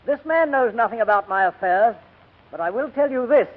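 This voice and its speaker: A defiant young prisoner in a forties radio play, high-pitched and husky. He is sardonic and theatrical, with big pitch swings. It comes through a tinny old radio recording. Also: animated, moderate pace. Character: defiant, rash young male prisoner, bitterly mocking. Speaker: actor in a radio drama. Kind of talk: 1940s radio drama acting.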